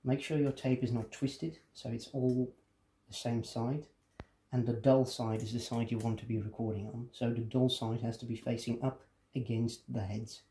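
Guy doing a casual how-to, mellow soft-edged tone, medium pitch, explains each step. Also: little pitch movement, normal speed. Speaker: tutorial presenter. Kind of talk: casual hands-on demonstration.

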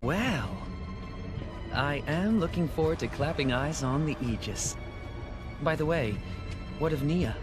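An actor playing a composed, bookish villain in a video game, speaking in a measured, formal, smug way. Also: male voice, a little high, expressive. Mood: calm scheming. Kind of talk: dramatic video game acting. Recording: loud music behind dialogue.

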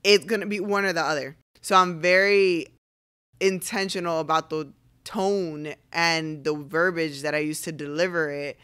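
Forward, bright-voiced young woman, smooth. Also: sits low, steady tone. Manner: forward, assertive, deliberate. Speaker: podcast guest. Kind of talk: casual podcast conversation. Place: living room couch, indoors. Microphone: podcast microphone on boom arm.

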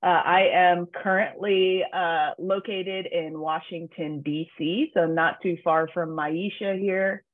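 A woman's voice: fairly low pitch, steady tone, slow pace, hardly any pauses. Woman on a tinny phone-like line. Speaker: government relations director. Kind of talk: casual video call introduction.

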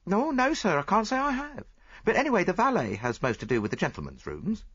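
A man's voice: high voice, big pitch swings, brisk, a bit bright, husky, dry recording, lo-fi sound, voice pushed up, heavily acted. A man voicing a respectful, chatty hotel chambermaid, deferential and plain-spoken, in an audiobook story. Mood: helpful.

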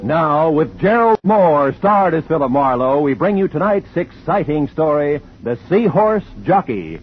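A man's voice: on the high side, big pitch swings, a little slow. A male announcer introducing a radio drama in a formal, declaiming manner. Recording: dull old radio with music.

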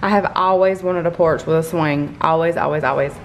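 A woman's voice: deep voice, fairly quick. A middle-aged woman giving a casual, chatty house tour as she walks through rooms holding the camera. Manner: chatty, informal. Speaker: vlogger. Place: inside a furnished house, walking between rooms. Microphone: handheld camera, held by the speaker. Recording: handheld camera audio with faint room noise.

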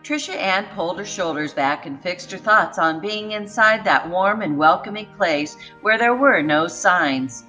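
A woman reading a children's storybook aloud with expressive, dramatic narration. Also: lowish voice, a little slow, hardly any pauses, faint music behind, rough recording.

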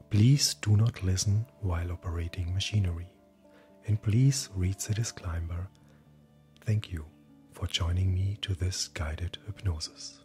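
A man speaking as a hypnotherapist, guiding sleep hypnosis in a calm, gentle, breathy voice that often nears a whisper. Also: sits low. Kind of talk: guided hypnosis, soothing scripted narration. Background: soft background music.

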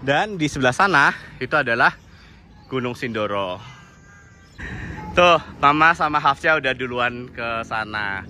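A man's voice: mid-range voice, animated, a little slow, long pauses, bright, forceful tone, slight background noise. A man casually narrating a walk-through tour of a cafe and guesthouse, pointing things out as he goes, recorded on a handheld phone. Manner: friendly, informal, points things out as he walks. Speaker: vlogger touring a cafe and guesthouse. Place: outdoors and indoors at a mountain cafe and lodging.

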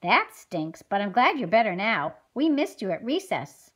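A woman's voice: mid-range voice, sing-song, normal speed, a bit bright. A woman voicing an eager, friendly fifth-grade schoolgirl in a scripted children's puppet show, explaining things enthusiastically.

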